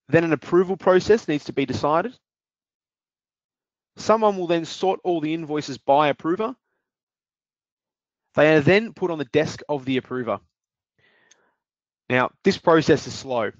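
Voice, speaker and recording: A man presenting a product demo on a webinar, talking in a measured, explaining way with some fillers. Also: a little high, no echo. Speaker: webinar presenter, business development manager. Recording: dry dull lo-fi audio.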